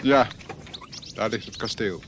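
An actor playing a stern, learned cleric lecturing in a measured way in a radio drama. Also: male voice, on the low side, vocal fry, noisy background. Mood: pious, lecturing.

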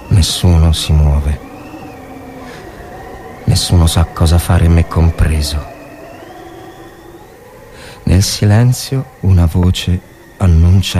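A man reading a war story as dramatic narration, grave and terse, in measured phrases. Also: deep voice, warm tone, a little hiss or hum. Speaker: reader of a short story. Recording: music behind the voice.

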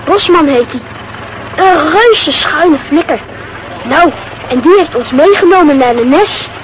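A woman's voice: high-pitched, lively intonation, unhurried, slight background noise, rough recording. A woman playing a brash, cheeky schoolboy in a radio play, talking crudely and boastfully. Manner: cocky, crude, laughing.

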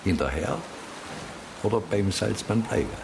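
Ein Schauspieler spielt in einem Hörspiel einen redseligen, trocken-ironischen Ermittler. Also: male voice, lowish voice, lively intonation, a little slow, creaky. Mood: wry. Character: chatty, wry male sleuth on a stakeout. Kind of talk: radio play dialogue. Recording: noisy ambience behind.

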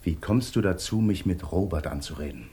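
An actor playing a grumpy, cursing misanthropic painter husband in a 1950s German radio comedy, grumbling and declaiming with sardonic theatricality. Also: male voice, deep voice, brisk, mellow. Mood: offended. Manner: grumbling, declamatory outbursts with muttered asides. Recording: noisy 1950s radio drama recording.

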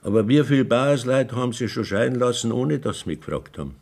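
An actor playing a cautious, evasive village priest who speaks in a measured, formal way, in a radio play. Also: male voice, medium pitch, expressive, creaky, darkish tone.

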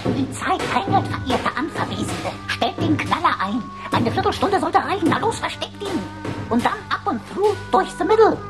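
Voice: high-pitched, sing-song, moderate pace, over music. An actor voicing a cheeky, wisecracking little computer in a German radio drama, flippant and mock-pompous. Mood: flippant urging.

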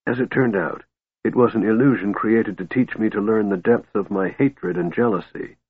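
Guy doing a frail creepy wizard for an audiobook, soft broken whisper, cold and calm, low voice. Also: gravelly, slightly rough, no room sound, phone-quality sound, heavily acted. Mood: calm, bitter. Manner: calm, soft, deliberate menace. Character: frail, cold, menacing male mage, bitter twin brother.

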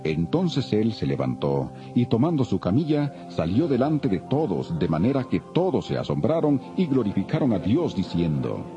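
Deep-voiced man narrating scripture. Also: huge pitch swings, moderate pace. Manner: solemn storytelling. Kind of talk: dramatic scripted Bible narration. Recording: dull lo-fi, music behind.

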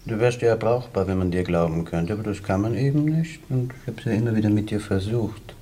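An actor playing a stern, blunt young socialist leader who talks in commanding, oratorical phrases in a radio drama. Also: male voice, on the low side, brisk, warm tone, close to an everyday voice. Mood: bitter, dismissive. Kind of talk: stage-like radio drama acting. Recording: roomy echo, faint background noise.

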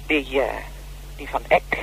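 An actress playing a wary, aggrieved middle-aged widow in a radio play, speaking hesitantly and defensively. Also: female voice, rumbling low, slow, vocal fry, fairly bright tone, full character voice. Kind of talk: naturalistic radio play acting. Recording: noisy old radio broadcast with hum.

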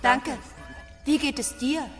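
A woman playing a naive, literal-minded female artificial intelligence in a radio drama, speaking in a measured, stilted, questioning way. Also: high-pitched, fairly even intonation. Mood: curious, polite. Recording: long reverb, music behind.

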